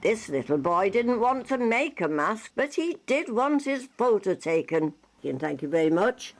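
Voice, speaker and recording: A woman's voice: medium pitch, sing-song, a little slow. A woman narrating a local newsreel from a script, with light wry humour.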